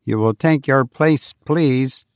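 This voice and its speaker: A man voicing a calm, strict robot teacher who speaks flatly and without feeling. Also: mid-range voice, clean tone, dry recording, clean studio sound. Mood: stern. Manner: flat, unfeeling, measured.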